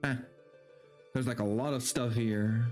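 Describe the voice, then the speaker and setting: A man's voice: mid-range voice, fairly even intonation, a little slow, darkish tone. A man streaming, chatting in a rambling, informal way that is loose with swearing, and reading a story aloud. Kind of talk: casual livestream chat and dramatic story reading. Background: background game music.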